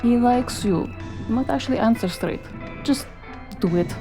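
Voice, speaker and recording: Actress as a higher-voiced, no-nonsense woman. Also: over music, close to an everyday voice. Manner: brisk, teasing, no-nonsense. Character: bossy, exasperated female partner of a noir investigator. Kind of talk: noir audio drama.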